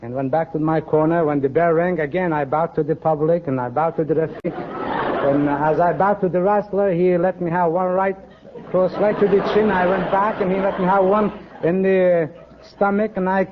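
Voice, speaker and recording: A man with a Persian accent speaks in a slightly high voice, answering questions politely and formally. He sounds like a quiz contestant telling his own stories, on a muffled vintage radio recording. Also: steady tone, moderate pace, a crowd in the background, background noise. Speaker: quiz show contestant, professional wrestler. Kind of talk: polite interview answers and anecdotes. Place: radio studio stage with audience.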